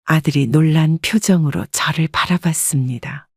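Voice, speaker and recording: A woman narrating a family story in a calm, measured way, recorded on a close studio mic. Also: low-pitched, no echo.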